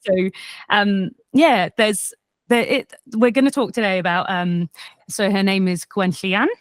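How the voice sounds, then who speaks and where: A Welsh woman whose accent has softened after years in Australia, telling history as a podcast guest in an animated, self-deprecating way. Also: medium pitch, measured pace, dry recording. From Wales. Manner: animated, self-deprecating, frequent restarts and laughs. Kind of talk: casual conversational storytelling.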